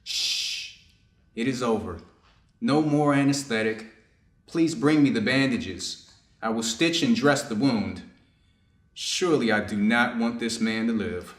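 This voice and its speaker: A man's voice: medium pitch, lively intonation, measured pace, long pauses, fairly bright tone. Man acting a stern doctor in an echoey room. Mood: absorbed, conflicted. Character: stern, conflicted Japanese doctor operating on a wounded enemy. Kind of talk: staged readers' theater acting.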